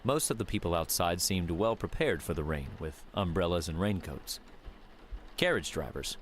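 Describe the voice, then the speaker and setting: Deep-voiced male audiobook narrator. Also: slight background noise.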